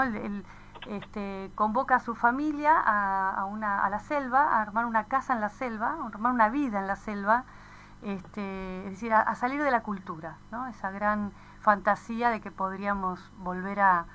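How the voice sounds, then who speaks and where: A woman's voice: mid-range voice, normal speed, a bit bright, a little hiss or hum, low-quality recording. A woman in a conversational radio discussion, thinking aloud with hesitations and frequent tag questions.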